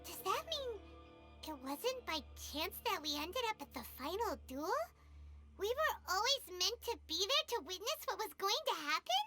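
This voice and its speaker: A squeaky, high little companion from a game, her pitch leaping about. She is blunt and quick with a quip, and asks questions with a childlike directness. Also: big pitch swings, loud music behind the voice, exaggerated voice. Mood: curious.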